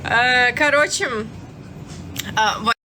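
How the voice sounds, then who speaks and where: A woman's voice: a little high, takes it slowly, noisy background. A young woman from Turkmenistan with a Turkmen accent, her first language being Turkmen. She chats frankly on a live stream and answers viewers' comments, with plenty of fillers and restarts. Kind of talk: casual live-stream chat.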